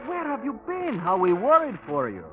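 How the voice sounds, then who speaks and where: An actor playing a warm, emotional pilot and protective friend, with a Spanish accent and expressive phrasing, in vintage radio drama style. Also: male voice, sits high, normal speed. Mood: delighted, affectionate. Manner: expressive, gentle, theatrical phrasing. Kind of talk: 1940s radio drama acting. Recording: tinny, hissy old radio recording.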